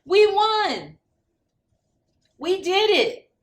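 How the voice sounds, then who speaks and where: A woman voicing an excitable, fiercely loyal child in a picture book, exclaiming with strong feeling. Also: very high-pitched, very slow, pitched above the performer's own voice, exaggerated voice. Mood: elated. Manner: exclaiming, indignant or elated. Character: excitable child of a mayoral candidate, passionately loyal.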